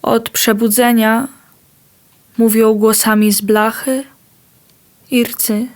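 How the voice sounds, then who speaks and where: Very slow, flat-toned woman reciting verse. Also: a little high. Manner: even, unhurried. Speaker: poem reader. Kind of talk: poetry recitation.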